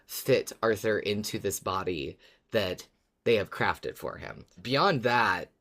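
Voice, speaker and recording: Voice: low-pitched, animated, slow pace, a bit bright. A podcast co-host in casual chat, playful and often restarting sentences.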